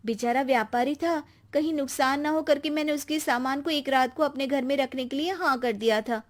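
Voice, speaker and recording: Woman acting earnest, high-voiced poor village mother. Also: brisk, close to an everyday voice. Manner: earnest, pleading, humble. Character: poor, devout, kind-hearted young village mother. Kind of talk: Hindi moral storybook acting.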